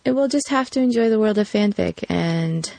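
Very creaky-voiced woman, casual podcast host. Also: mid-range voice, animated, moderate pace, mellow, a little hiss or hum. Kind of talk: casual, unscripted introduction.

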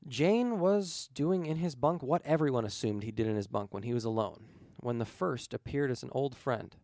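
A man narrates scripted fiction as an audiobook reader. His voice has a creaky, gravelly grain and sits at a middle pitch, with a dry sound free of room echo. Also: normal speed, a little mellow.

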